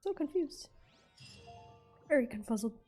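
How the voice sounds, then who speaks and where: High, mellow-voiced woman streaming a game. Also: expressive, unhurried. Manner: goofy, playful asides. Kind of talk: casual reactions while streaming a game. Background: game music.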